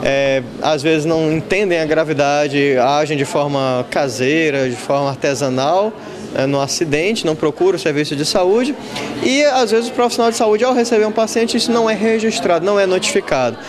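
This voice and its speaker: A man in his forties being interviewed by a reporter on a handheld mic, explaining in a measured way in an auditorium. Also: on the high side, crowd noise. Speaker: lecturer interviewed. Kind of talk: news interview. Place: school auditorium with an audience.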